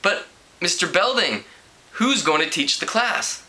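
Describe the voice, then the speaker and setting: A man's voice: high-pitched, lively intonation, a little slow, bright, forceful tone, pitched above the performer's own voice, full character voice. A man voicing an earnest, haughty and easily worried teenage girl student from a storybook, prim and fretful.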